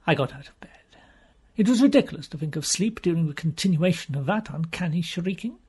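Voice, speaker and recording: Man, audiobook narrator, husky and breathy, theatrical, animated pitch, hushed suspenseful passages. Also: a little fast. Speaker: audiobook reader. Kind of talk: dramatic scripted narration of a mystery story.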